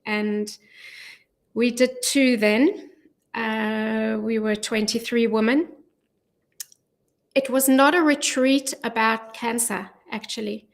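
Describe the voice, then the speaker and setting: A middle-aged woman speaking as a guest in a radio studio interview, earnest and measured, with frequent ums, on a studio microphone. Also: on the high side, steady tone. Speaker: guest, charity volunteer. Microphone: studio mic with headphones.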